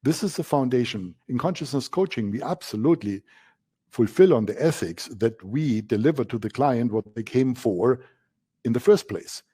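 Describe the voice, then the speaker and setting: Male coaching instructor, German accent, soft-edged mellow tone, mid pitch, emphatic masterclass delivery that leans on repetition. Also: lively intonation, normal speed, slightly rough. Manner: emphatic, repeats key words for stress. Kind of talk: masterclass presentation.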